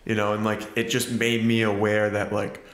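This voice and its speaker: A man's voice: fairly low pitch, completely flat delivery, normal speed, a bit bright, roomy sound. A man co-hosting a casual movie review podcast, rambling with lots of fillers. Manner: rambling, many fillers like "like" and "you know". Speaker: podcast co-host.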